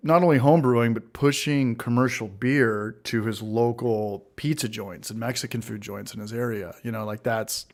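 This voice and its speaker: A man's voice: mid-range voice, fairly even intonation, moderate pace, hardly any pauses. Easygoing man in his thirties. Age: 30s. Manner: easygoing, rambling, greets chat often. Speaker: livestream co-host. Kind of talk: casual beer-tasting livestream chat.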